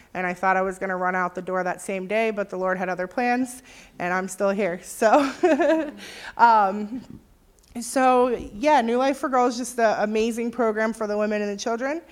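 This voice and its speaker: Woman staff member, mid-pitched, informal talk to a group, relaxed and unpolished. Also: clean tone. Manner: relaxed, explaining, many filler ums. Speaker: staff member of a women's recovery program. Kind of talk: informal presentation to a visiting group.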